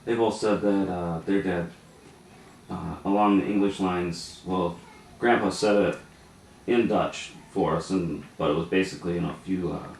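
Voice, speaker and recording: A man's voice: low voice, fairly even intonation, moderate pace, creaky, darkish tone. A man interviewing a relative, encouraging and chatty, with many short acknowledgements. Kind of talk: family interview. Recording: echoey room, mic at a distance, faint noise.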